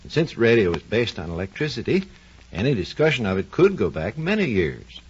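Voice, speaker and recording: A man's voice: lowish voice, breathy edge. A man with an American accent giving a scripted 1940s educational radio talk as a research engineer, in a formal, explanatory manner. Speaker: research engineer presenting a science program. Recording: muffled, lo-fi 1940s radio recording.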